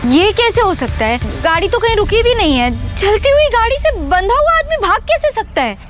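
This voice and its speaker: A woman plays a startled gang underling in an audio drama. The voice is extremely high and bewildered, over faint music. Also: very animated, normal speed. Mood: alarmed disbelief. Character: startled, bewildered gangster's henchman.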